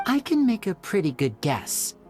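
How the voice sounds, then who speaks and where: An actor voicing a young male stage magician in a video game, smooth, theatrical and explanatory. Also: sits high, wildly swooping pitch, moderate pace. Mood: deducing. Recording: game audio with soft music.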